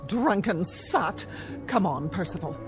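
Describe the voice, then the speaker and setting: A woman's voice: fairly low pitch, animated, takes it slowly, over music. A haughty society mother. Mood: indignant. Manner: haughty, indignant.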